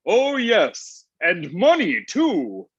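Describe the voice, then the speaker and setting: A man's voice: high-pitched, huge pitch swings, drawn-out words, slightly rough, dry recording, exaggerated voice. A man playing a sulky, lovesick old Santa Claus in a comedic table read. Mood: sheepish. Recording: dull lo-fi online call.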